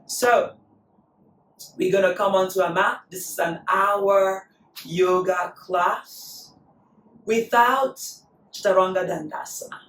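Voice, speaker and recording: Slow-spoken yoga teacher, recovering voice. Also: female voice, mid-range voice, slight background noise. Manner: slow, calm cueing of breath and poses. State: recovering from lost voice. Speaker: yoga instructor. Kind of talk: guided yoga class instruction. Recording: echoey room, voice from a distance.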